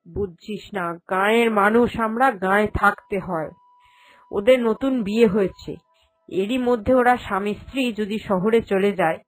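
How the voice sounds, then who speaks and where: A woman's voice: medium pitch, lightly acted. A woman voicing a worrying, opinionated elderly village mother in a cartoon, speaking in a fretful, chiding manner. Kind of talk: cartoon character dialogue. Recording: music behind the voice.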